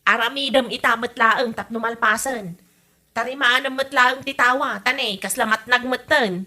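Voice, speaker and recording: A woman's voice: fairly high pitch, moderate pace, pushed and bright. A woman playing a bossy, worrying wife in an amateur comedy audio drama, speaking in an urging, conversational way. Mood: scolding.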